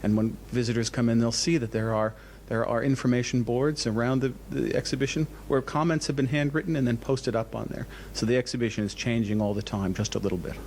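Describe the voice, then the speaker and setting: Guy museum director in an interview, enthusiastic, kinda halting and repeats words, bit of background noise. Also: medium pitch, steady tone, a little mellow. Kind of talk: interview answers, explanatory.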